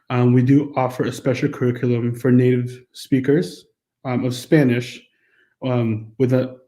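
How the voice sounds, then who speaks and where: A man's voice: mid-range voice, mellow, roomy sound. A man on a school's staff, with an American accent, walking through a course registration form in a casual, explanatory way with many fillers. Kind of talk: screen-recorded walkthrough.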